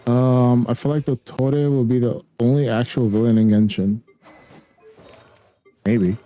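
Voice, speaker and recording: A man's voice: mid-range voice, fairly even intonation, measured pace, warm tone, soft background music. Blunt, slangy young man, a native Spanish speaker. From raised by a Spanish-speaking family, living in the US. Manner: blunt, slangy, swears freely. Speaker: gaming streamer. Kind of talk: casual streaming chat.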